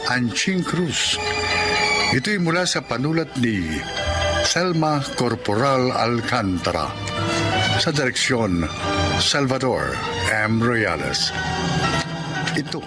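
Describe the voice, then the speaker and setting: A man's voice: medium pitch, big pitch swings, slow pace, long pauses. A man announcing a radio drama in a formal, theatrical, scripted narration style. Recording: voice over loud music.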